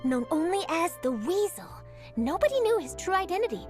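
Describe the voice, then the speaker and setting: A woman playing an eager young journalist chasing a scoop, brisk, upbeat and theatrical. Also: extremely high pitch, very animated. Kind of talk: video game voice acting. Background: game score music.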